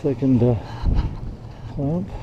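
A man's voice: medium pitch, slow pace. A man giving casual, practical work instructions to helpers, thinking aloud in short phrases outdoors. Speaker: work crew leader. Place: outdoors on a snowy windy mountain. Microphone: body-worn action camera. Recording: muffled action camera, loud wind noise.

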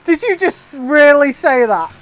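A woman gaming with a friend over voice chat, excitable, often exclaiming and laughing. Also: sits high, a little slow, rough recording. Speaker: gamer. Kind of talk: casual gaming banter.

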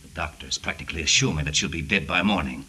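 An actor playing a cold, cornered wife-murderer in a 1940s radio noir, speaking in a terse, grim, measured way. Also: male voice, a bass voice, sharp tone, husky, full character voice. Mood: cold menace.

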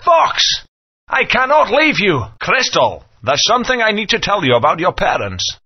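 An older mentor speaks earnestly in a video game. His voice is gravelly with a lively pitch, slightly husky. The audio is dull. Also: normal speed, full character voice. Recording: muffled lo-fi audio.

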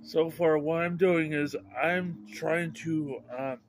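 Middle-aged male vlogger, slow and rambling, many restarts, phone at arm's length outside. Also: on the high side, steady tone, slight background noise. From Idaho, USA. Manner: halting, repeats and restarts words, upbeat. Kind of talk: rambling casual vlog. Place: outdoors by a house under overcast sky. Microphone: handheld phone camera at arm's length.

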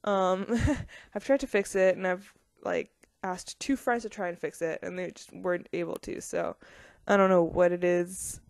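A woman's voice: fairly low pitch, fairly even intonation, normal speed, vocal fry, no echo, studio quality. A young woman casually talking over her building video game footage, rambling with lots of ums and small laughs.

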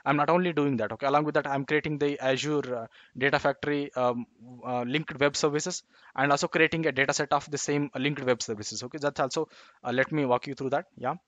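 A man's voice: a little high, fairly even intonation, brisk, fairly bright tone, no room sound. A man presenting a tech tutorial, talking through code off the cuff, with many fillers and repeated "okay, yeah" tags. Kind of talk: unscripted screen-share code walkthrough.

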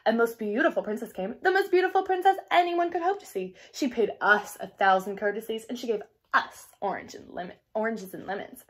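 A woman reading a storybook and voicing a haughty, mocking young stepsister with exaggerated, drawn-out scorn. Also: on the high side, natural voice. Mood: boastful.

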